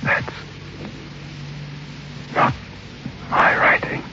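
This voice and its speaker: An actor playing a guilt-stricken man in an old radio drama, halting and pleading. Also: big-room sound. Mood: pleading, broken. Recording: muffled, noisy old radio recording.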